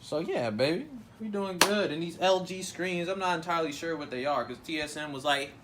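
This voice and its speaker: A man streamer giving a relaxed, slangy tour of his gaming setup with a playful edge. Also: fairly high pitch, fairly quick, very noisy. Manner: laid-back, slangy, playful.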